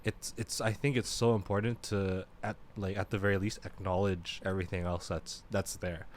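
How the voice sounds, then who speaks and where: A young man with a low voice chats on a podcast in an easy, slangy way and swears without thinking about it. A faint hiss of noise sits under his voice. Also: flat delivery, normal speed. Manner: laid-back, slangy, swears freely. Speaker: podcast co-host. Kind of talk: casual chat.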